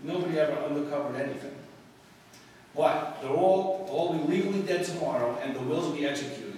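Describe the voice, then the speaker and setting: A man's voice: on the high side, a little fast, a little mellow, natural voice. A man playing a brash, wisecracking newspaper reporter in a 1940s-style radio drama. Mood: cocky, needling. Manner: cocky, wisecracking. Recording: distant echoey hall, faint noise.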